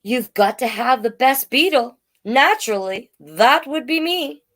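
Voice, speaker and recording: A woman's voice: sits high, expressive, unhurried, a bit bright, pitched above the performer's own voice, full character voice. A woman voicing a vain male beetle in a children's storybook, boastful and drawing out the words. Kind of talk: children's storybook read-aloud.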